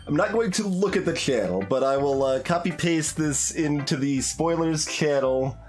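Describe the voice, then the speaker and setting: A man's voice: fairly high pitch, animated, moderate pace, darkish tone. A man giving casual live commentary on a game playthrough, hesitant and thinking aloud as he talks. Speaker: game streamer. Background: game music, guitar.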